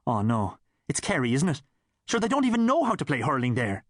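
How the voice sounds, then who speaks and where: A man's voice: mid-range voice, huge pitch swings, brisk, dry recording, clean studio sound. A man voicing a cheeky, sporty schoolboy with a blunt, colloquial way of talking, in an audiobook. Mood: dismayed.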